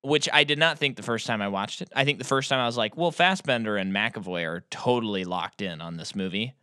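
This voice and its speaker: Guy podcaster, bright forceful voice, dry close mic, pushing his hot takes. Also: on the low side, clear, resonant tone, no echo. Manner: opinionated, quick to joke about his own hot takes. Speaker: podcast co-host. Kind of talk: casual movie-review chat.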